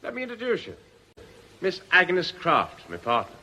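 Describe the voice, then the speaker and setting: A breezy business partner in a radio mystery. His voice is fairly high and bright, with very animated intonation. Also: moderate pace, heavily acted. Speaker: actor. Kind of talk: radio drama acting.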